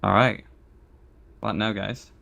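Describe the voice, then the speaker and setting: A man improvising narration as game master of a tabletop roleplaying game, speaking informally and haltingly. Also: on the low side, fairly even intonation, gravelly, sharp tone.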